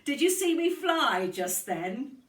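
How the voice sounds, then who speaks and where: A woman's voice: high voice, very animated, slow, voice pushed up, full character voice. A woman voicing a small, earnest young male dog in a children's storybook, with a childlike, insistent way of talking. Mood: eager, insistent. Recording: roomy, distant microphone.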